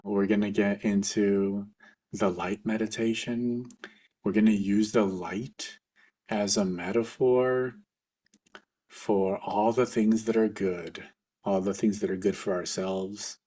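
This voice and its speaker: A man leading a guided meditation for a group as facilitator, speaking informally in a gentle, reassuring, unhurried way. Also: on the low side, slightly rough.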